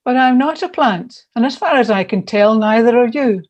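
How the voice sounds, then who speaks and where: A woman reads a prepared devotional in a voice pitched a little high. She sounds earnest and puts emphasis into the lines as a church reader. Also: animated, normal speed, a little mellow, muffled recording. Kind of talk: scripted devotional reading.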